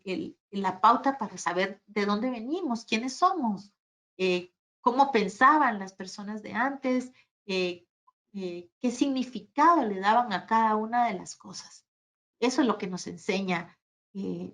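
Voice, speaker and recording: A woman with a Guatemalan Spanish accent answers questions as a guest speaker, reflective and halting, often seeking agreement at the end of phrases. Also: mid-range voice, a bit bright. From Guatemala City, Guatemala. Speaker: guest speaker, archaeologist and gastronomy professional.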